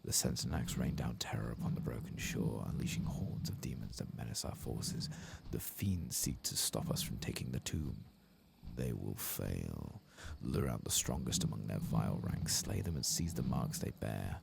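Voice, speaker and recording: A man's voice: extremely low pitch, expressive, normal speed, vocal fry, voice pushed down, heavily acted. A man voicing a stern, resolute fantasy game war commander in a grave, solemn way. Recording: heavy background noise with game ambience.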